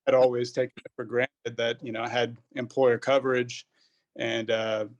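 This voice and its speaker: A man's voice: mid-range voice, flat delivery, normal speed, dull audio. A man in his thirties, a pharmacist guest on a podcast, answering casually in a rambling way. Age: 30s. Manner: rambling, many fillers and restarts.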